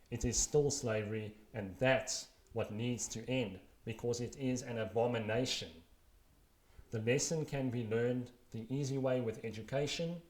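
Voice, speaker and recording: Male, 30s, South African accent, reading a personal blog essay. Earnest, measured, wry; roomy, echoing recording. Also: mid-range voice, a little mellow. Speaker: blogger reading his own post aloud.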